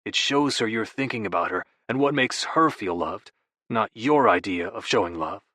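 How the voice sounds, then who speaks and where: A gentle, diplomatic brother who explains things in a calm, measured way, as a male narrator voices him. He stays close to the reader's natural voice. The sound is dry and close. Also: lowish voice, no echo.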